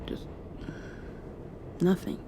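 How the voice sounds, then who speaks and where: A woman playing a shy, tender young girlfriend in an intimate ASMR roleplay, speaking softly and haltingly and often slipping into a whisper. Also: noisy background. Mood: sad, reflective. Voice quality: soft, breathy, often dropping to a whisper. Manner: hesitant, halting, confiding. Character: shy, tender young mosquito girl confessing past heartbreak to girlfriend.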